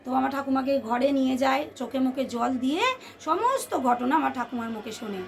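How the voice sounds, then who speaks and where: A woman tells a ghost story to listeners in an expressive, suspenseful way, repeating phrases for effect. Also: sits high, fairly quick, creaky, background noise. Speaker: storyteller, channel host. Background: background music.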